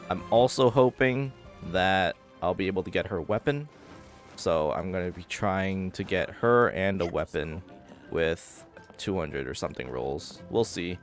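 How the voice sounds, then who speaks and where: A man's voice: sits low, measured pace, faint music behind. A man talking casually as he streams a video game, commenting live on what he is doing.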